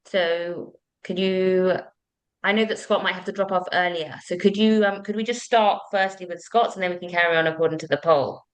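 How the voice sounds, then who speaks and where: A woman's voice: lowish voice, steady tone, normal speed, poor audio. A woman hosting an online panel in an informal, conversational way. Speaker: webinar host.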